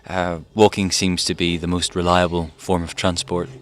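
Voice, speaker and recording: An actor playing a haunted fugitive physicist in a wartime audio drama, musing hesitantly as if recording a diary. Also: male voice, low voice, flat delivery, normal speed, a bit bright. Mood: weary, rambling. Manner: hesitant, musing, with sighs and broken phrases. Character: haunted, rambling fugitive physicist, man living through a war.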